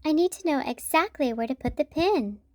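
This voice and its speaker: A woman's voice: high-pitched, animated, brisk, darkish tone, no echo, studio quality, voice pushed up. A woman voicing a kindly female bear doctor in a children's storybook, explaining things reassuringly. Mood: gentle explaining.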